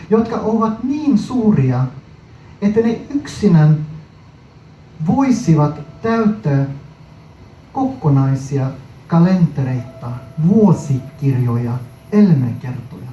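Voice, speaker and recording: A man's voice: a little high, sing-song, warm tone, reverberant. Muffled, distant man reciting poetry. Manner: deliberate, short phrases with frequent pauses. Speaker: poet reading own poem. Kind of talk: poetry recital, performance reading. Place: live performance space, indoors. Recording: muffled, noisy live recording from far off.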